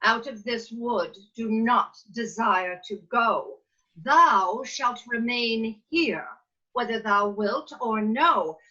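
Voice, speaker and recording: A woman playing a regal, doting fairy queen in a Shakespeare table read, speaking measured verse theatrically over a video call. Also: on the high side, pushed and bright, heavily acted. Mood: lovestruck. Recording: muffled video call audio.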